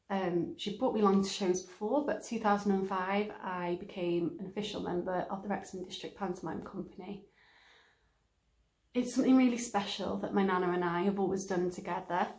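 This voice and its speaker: A woman's voice: medium pitch, fairly even intonation, moderate pace, a little mellow. Woman speaking in an echoey room. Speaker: amateur pantomime company member. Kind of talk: testimonial to camera.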